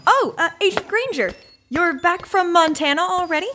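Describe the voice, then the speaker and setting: Anxious, deferential secretary, high-pitched, eager and hesitant; comic audio drama. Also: female voice, animated, normal speed, over loud music. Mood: anxious, polite. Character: polite, eager, anxious female agency secretary.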